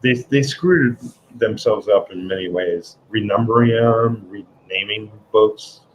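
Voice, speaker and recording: Slow-talking New York man, lo-fi. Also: on the low side, slight background noise. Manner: rambling, restarts often, laughs between remarks. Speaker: host of a comics livestream. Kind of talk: casual chat.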